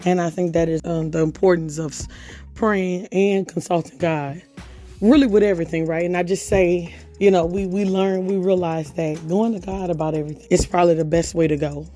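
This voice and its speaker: Woman, hoarse, podcast host, casual devotional chat, lowish voice, warm dark tone. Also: steady tone, a little fast, faint music behind. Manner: conversational, reads scripture aloud, chatty fillers.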